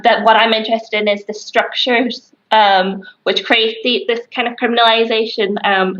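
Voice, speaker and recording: A woman in her thirties with a Northern Irish accent, a criminology lecturer answering interview questions on a video call from a small office, pausing often with fillers and restarts. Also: mid-range voice, fairly bright tone, dull audio. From Northern Ireland. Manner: hesitant, many fillers and restarts. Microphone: webcam on video call.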